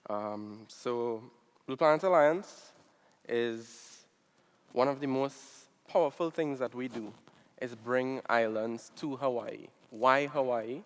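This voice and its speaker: A man's voice: mid-range voice, takes it slowly, clear, resonant tone. Man speaking in a reverberant hall. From Trinidad and Tobago. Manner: relaxed, frequent ums. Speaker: NGO director, panel speaker. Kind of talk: conference presentation.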